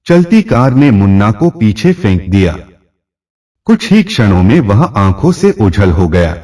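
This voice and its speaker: Slow, husky male storyteller. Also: lowish voice, dark tone, recorded from a distance. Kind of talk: scripted story narration.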